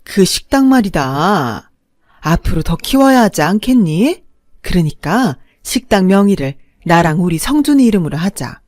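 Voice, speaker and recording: A woman's voice: fairly low pitch, sing-song, a little slow, darkish tone, dry recording, studio quality. A woman voicing a scheming, greedy older mother-in-law who talks down to her daughter-in-law, in a story reading. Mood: scolding. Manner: scolding, condescending.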